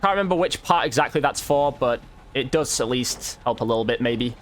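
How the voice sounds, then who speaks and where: A man's voice: mid-range voice, expressive, brisk, soft background music. A man giving casual gameplay commentary, informally thinking aloud as he plays. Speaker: gaming video creator.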